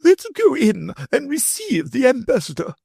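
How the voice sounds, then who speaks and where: A man reading an audiobook, voicing a haughty, scheming noblewoman in a high, shrill, falsely sweet voice. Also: wildly swooping pitch, moderate pace, creaky, darkish tone, slightly rough, dry recording, studio quality, exaggerated voice. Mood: imperious.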